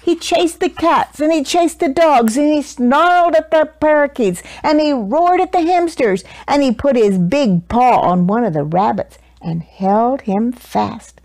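A woman in her sixties reading a children's picture book aloud expressively, with deliberate, dramatic emphasis for young listeners. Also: high-pitched, lively intonation, a little mellow, dry recording. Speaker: children's story reader. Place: small living room, indoors. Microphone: lapel mic.

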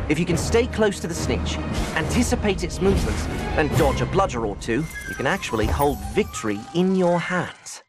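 A keen young wizard coaches newcomers in a scripted game tutorial. His voice is slightly high and moves animatedly up and down. Music plays clearly behind him.

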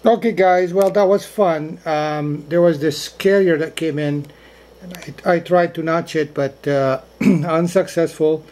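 A high-pitched man talks as an amateur radio operator from a room indoors. He keeps to procedure phrases and often repeats key words for clarity. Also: fairly even intonation, unhurried, a little mellow. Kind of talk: ham radio contact, short spoken exchanges. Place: indoors in a cabin.

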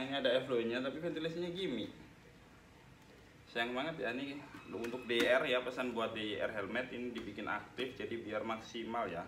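A man's voice: mid-range voice, fairly bright tone. Chatty young man in an echoey room. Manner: chatty, addresses viewers as guys. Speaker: motovlog host reviewing a helmet. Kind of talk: casual product review vlog. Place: small room with tiled wall, indoors. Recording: echoey room, noisy background, mic at a distance.

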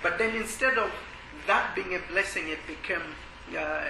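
A man from Malawi tells his story at a church workshop, his voice pitched fairly high and pausing often on filler sounds. The room around him rings with echo. A steady noise sits under the talk. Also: creaky. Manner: hesitant, many filler sounds. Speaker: ministry worker. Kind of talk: workshop testimony. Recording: echoey room with background noise.

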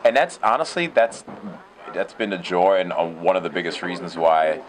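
A man in his thirties with a very low, deep voice answers interview questions in an open shed. He is relaxed and reflective and often circles back on his words with fillers. His pitch sits far below most men's. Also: normal speed, slight background noise. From United States. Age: 30s. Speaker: actor. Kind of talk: casual interview. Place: open shed with concrete pillars.

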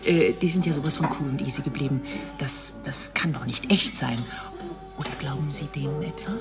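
Spöttische Frau mit tiefer Stimme. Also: female voice, deep voice, brisk, music in the background. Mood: teasing, curious. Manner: wry, gossipy, chatty. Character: teasing, sceptical female TV company employee. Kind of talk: naturalistic German radio play dialogue.

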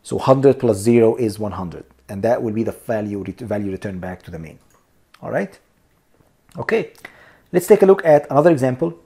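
A man's voice: fairly low pitch, lively intonation, normal speed, dark tone, slightly rough. A man teaching a programming lesson over a screen recording, explaining each step and checking in with "okay?" and "here we go" as he addresses viewers as "you guys.". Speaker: programming instructor.

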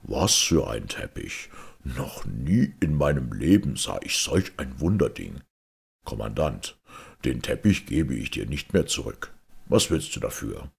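A man voicing a covetous, commanding king in a fairy tale, gravelly and very deep, weighty and imperious. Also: sing-song, unhurried, full character voice. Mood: awed, possessive.

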